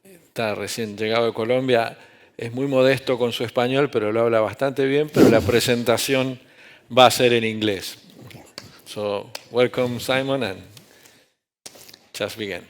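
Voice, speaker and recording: Mid-pitched man introducing a conference speaker. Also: fairly even intonation, a little slow, long pauses. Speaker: session host introducing a speaker.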